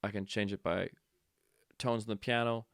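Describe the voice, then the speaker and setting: A man's voice: lowish voice, fairly even intonation, normal speed, dry recording, clean studio sound. A man teaching audio production in a casual tutorial, explaining step by step and thinking aloud as he goes.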